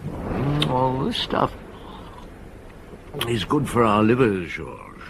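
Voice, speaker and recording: An actor playing Maigret, a genial, reflective French police inspector past fifty, in radio drama style, unhurried and given to murmured hums. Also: male voice, medium pitch, huge pitch swings, gravelly, background noise, heavily acted. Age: older. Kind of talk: British radio drama acting.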